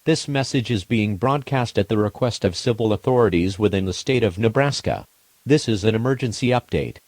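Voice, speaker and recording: A man voicing a formal emergency alert announcer, reading scripted warnings in a measured, impersonal, official manner. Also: fairly low pitch, darkish tone, no room sound, lightly acted. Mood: grave, resigned.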